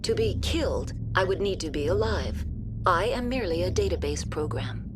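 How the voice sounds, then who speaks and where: A woman's voice: on the low side, expressive, moderate pace, music in the background, close to an everyday voice. A woman playing a formal, literal artificial intelligence that runs a research facility in a video game, speaking impassively. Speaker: computer system.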